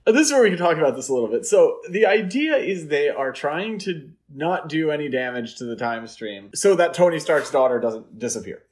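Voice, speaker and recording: A man's voice: on the high side, expressive, fairly quick, hardly any pauses. A man co-hosting a podcast, talking through his opinions in a casual, explanatory, conversational way. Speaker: podcast co-host and article writer. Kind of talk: casual film discussion podcast.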